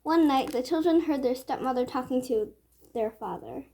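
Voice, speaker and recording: A woman storyteller narrating a children's read-aloud tale with measured phrasing. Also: high voice, warm tone.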